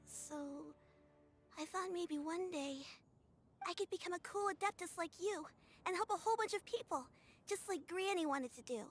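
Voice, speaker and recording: A shy young boy, talking softly with hesitant stops. He sounds sincere and eager. His voice is high, with faint music underneath. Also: moderate pace. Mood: earnest. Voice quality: hesitant, soft. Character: young boy, timid and earnest. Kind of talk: game voice acting.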